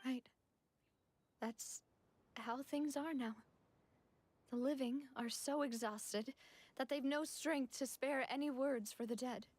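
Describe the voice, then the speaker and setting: A woman's voice: sits high, fairly even intonation, slow pace, long pauses, a little mellow. A woman playing a young, dutiful noblewoman leader carrying grief, earnest and emotional, in an anime-style game. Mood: weary. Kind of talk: anime-style video game drama acting. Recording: music behind.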